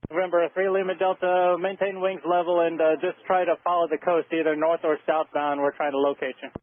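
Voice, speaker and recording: A man working as an air traffic controller, giving procedural instructions over aviation radio in a steady way with halting pauses. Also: on the high side, narrow, tinny audio.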